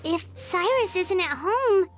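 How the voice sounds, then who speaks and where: A woman voicing a tiny, childlike fairy sidekick in a video game, with a squeaky voice and a chirpy, exaggerated, blunt manner. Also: expressive, slow, exaggerated voice. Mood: puzzled. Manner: chirpy, third-person self-reference, exaggerated. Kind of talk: scripted game dialogue acting. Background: background music.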